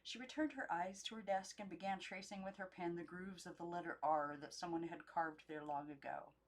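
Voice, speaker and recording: A woman's voice: on the low side, fairly quick. A young adult woman reading a children's novel aloud as an amateur audiobook narrator, unedited.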